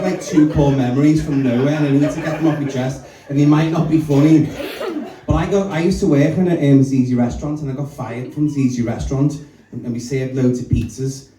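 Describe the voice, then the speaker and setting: A man's voice: a little high, steady tone, a little fast. Brash young man doing stand-up. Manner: brash, self-mocking, loose and chatty. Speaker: stand-up comedian. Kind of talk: stand-up set with crowd work. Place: small comedy club bar. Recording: echoey room, distant mic, loud crowd laughter.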